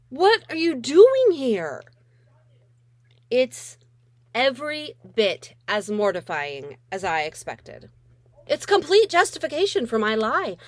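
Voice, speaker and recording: A woman's voice: fairly high pitch, no echo. A woman author reading her middle grade novel aloud in a wry first-person voice with dramatic emphasis.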